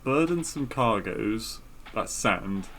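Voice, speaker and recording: Male podcast co-host, a little low in pitch, informal banter and story reading. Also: lively intonation, slow pace, over a noisy crowd.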